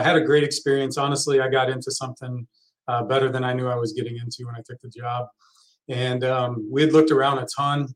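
A middle-aged man from Texas, a college soccer coach, telling his story as an interview guest in a relaxed way that trails off quietly. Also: mid-range voice, rough recording.

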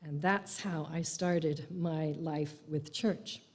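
An older woman giving a personal faith testimony in a church, speaking in a slow, reflective, gently humorous way. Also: low-pitched, steady tone. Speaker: congregant sharing faith testimony. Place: church sanctuary. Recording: long reverb of a large hall.

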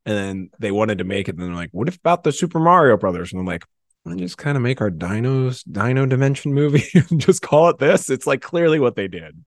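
A man chatting casually in an excitable, effusive way. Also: medium pitch, quick pace, no echo, clean studio sound. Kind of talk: casual chat.

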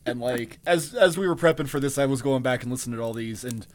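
A man's voice: mid-range voice, fast talker. A man co-hosting a podcast in casual chat, laid-back and self-mocking, with a bit of swearing. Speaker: podcast co-host.

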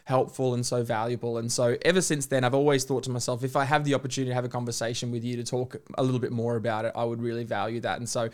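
Guy hosting a podcast, gushing intro, medium pitched voice. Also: level tone, racing, few pauses. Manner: effusive, admiring introductions. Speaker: podcast host. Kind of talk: podcast interview by phone.

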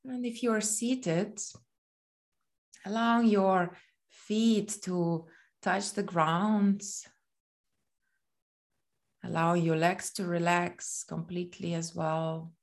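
Slow, low-pitched woman guiding meditation. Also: steady tone. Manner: soothing, gentle, unhurried with long pauses. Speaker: meditation guide. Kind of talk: guided body scan meditation.

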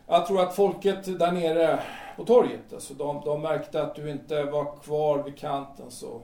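A man's voice: fairly high pitch, fairly even intonation, dark tone, close to an everyday voice. A man acting in an audio drama as a blunt, casual thirtyish man, hesitant and matter-of-fact. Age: 30s. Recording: roomy, distant, noisy amateur-sounding recording.

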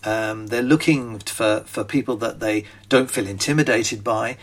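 A man's voice: lowish voice, a little slow. An older British man with a British accent hosting a podcast, talking in a rambling, chatty way full of ums and restarts. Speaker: podcast host, magician. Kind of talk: casual spoken monologue.

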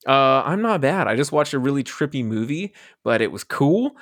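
Lively jokey man hosting a podcast. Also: mid-range voice, normal speed, no room sound, studio quality. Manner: animated, jokey, laughs often. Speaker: podcast host. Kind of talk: casual podcast chat.

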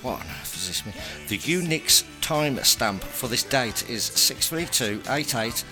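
A man's voice: medium pitch, animated, measured pace. A man hosting a casual album-review podcast, chatty and jokey, rambling a bit between thoughts. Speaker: podcast host. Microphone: home podcast mic. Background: rock music playing.

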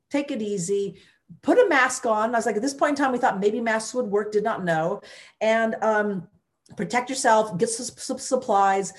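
A woman, a former mayor, telling her story in an interview with emphatic energy and many restarts. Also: mid-range voice.

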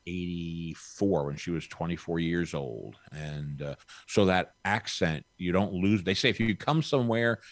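Man, sixties, podcast guest, rambling folksy chat, lowish voice, dry sound. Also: no echo. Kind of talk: casual chat.

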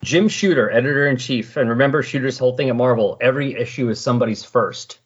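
A middle-aged man with a slightly husky voice hosting a casual comic book discussion in a chatty, explanatory way. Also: medium pitch, fast talker. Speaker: podcast host. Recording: dull lo-fi voice recording.

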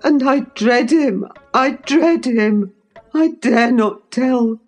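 Lady doing a scared sickly boy, whimpery and pleading, high voice, classic audiobook. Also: takes it slowly, a little mellow, soft background music. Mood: fearful, gasping. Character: frightened, self-pitying sickly boy, cowardly and pleading.

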